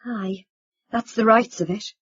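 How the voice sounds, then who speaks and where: A woman's voice: mid-range voice, a little slow, breathy edge, dry recording, dull audio, pitched above the performer's own voice. A woman reading an audiobook, voicing an older father who splutters and exclaims in agitation. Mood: agitated.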